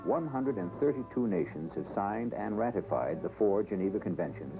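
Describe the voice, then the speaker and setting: An American man reading scripted training film narration in a formal, measured, solemn manner. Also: on the low side, expressive, creaky, rough recording. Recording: 1970s film soundtrack with music. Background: background music.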